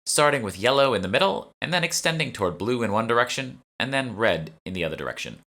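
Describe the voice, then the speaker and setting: A courteous female museum curator from an audiobook, voiced by a man. The voice sits higher than his own, bright in tone, earnest and informative, eager to please. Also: very animated, fast, full character voice. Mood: guiding. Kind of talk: audiobook character dialogue.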